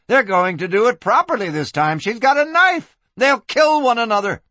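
Male reader as brassy frontier madam, high and forceful, foul-mouthed, hollering her orders in a western story. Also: expressive, moderate pace, dry recording, heavily acted. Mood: alarmed. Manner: bellowing, screeching alarm. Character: feisty, foul-mouthed female Old West bordello madam. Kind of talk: western audiobook character voice.

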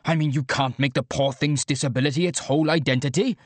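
A man's voice: medium pitch, fairly even intonation, fast, dry recording, lightly acted. A man voicing an oblivious, upbeat young male influencer who livestreams to fans, blithe and chatty, in an audiobook. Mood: chirpy, earnest. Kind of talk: audiobook character dialogue.

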